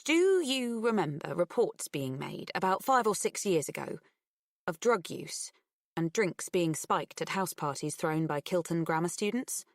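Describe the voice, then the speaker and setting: A woman voicing a sharp but awkward teenage girl in an audiobook, earnest, nervous and hesitant. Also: lowish voice, sing-song, unhurried, no echo, clean studio sound. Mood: probing.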